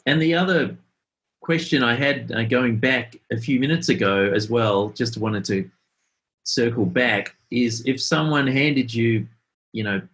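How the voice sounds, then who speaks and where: A man's voice: medium pitch, a bit bright. A man acting as interviewer, asking chatty, rambling questions in a casual style.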